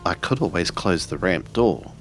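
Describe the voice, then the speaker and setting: A man's voice: deep voice, expressive, normal speed. A man playing a tabletop roleplaying game, speaking in character and narrating his character's actions. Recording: music bed behind the voice.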